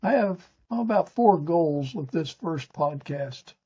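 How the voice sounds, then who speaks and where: An older man hosting a podcast, reading scripted narration from a teleprompter in a stiff, halting way. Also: a little high, lively intonation, mellow, husky, soft background music. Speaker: podcast host. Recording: dull, lo-fi sound.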